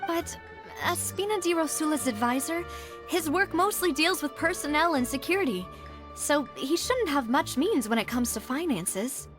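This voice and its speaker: A woman playing a poised, thoughtful young woman investigator in a video game, musing in a measured, deliberate way. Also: high-pitched, animated. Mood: doubtful, musing. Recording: game music behind the voice.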